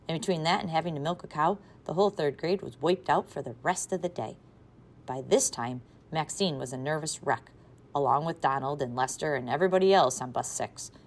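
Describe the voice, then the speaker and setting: Low-voiced woman reading a children's book. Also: a little fast. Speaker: third grade teacher. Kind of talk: reading a children's novel aloud.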